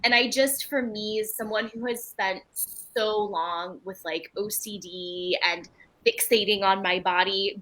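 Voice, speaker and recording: A woman's voice: mid-range voice, sharp tone, low-quality recording. A woman from Belgium whose first language is French, a writer and retreat leader answering interview questions in an earnest, candid and reflective way.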